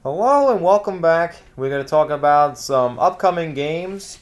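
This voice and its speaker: A lively co-host reads event announcements in a loose, joking ramble. The pitch sits in the middle and swings widely into goofy outbursts, with faint music playing underneath. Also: normal speed. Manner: rambling, jokey, goofy exclamations. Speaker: co-host of a game store news show. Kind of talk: casual comedic banter while reading event announcements.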